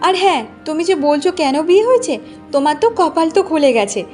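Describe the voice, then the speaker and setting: A woman plays a young cartoon village wife with a very high voice, vain and bossy. She nags and boasts in a demanding way, with music clearly playing underneath. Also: sing-song, quick pace, a little mellow. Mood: boastful, scolding. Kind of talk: Bengali cartoon voice acting.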